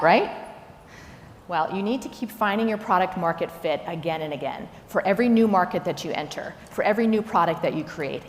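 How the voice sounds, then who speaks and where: A woman executive giving a prepared business keynote, speaking in an instructive, emphatic way with rhetorical questions. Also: lowish voice. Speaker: chief executive giving a conference talk. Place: large indoor talk venue. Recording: echoey hall, noticeable background noise.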